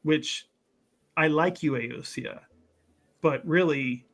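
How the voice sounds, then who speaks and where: A scheming adventurer played by a man talks in a fairly high, very bright voice. He wheedles and hedges, patting his partner on the head with mock-earnest reassurance, in the loose improvised manner of a tabletop game. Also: slow, exaggerated voice. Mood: scheming, hedging. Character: smooth-talking scheming male adventurer, condescendingly reassuring. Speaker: tabletop role-playing player. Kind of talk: improvised in-character actual play.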